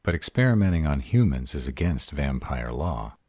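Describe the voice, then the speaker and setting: A man's voice: very deep voice, big pitch swings, moderate pace, a little mellow, dry recording, studio quality, exaggerated voice. A man playing a sarcastic, insecure young vampire in an audio roleplay, with a drawling manner. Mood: puzzled, hurt.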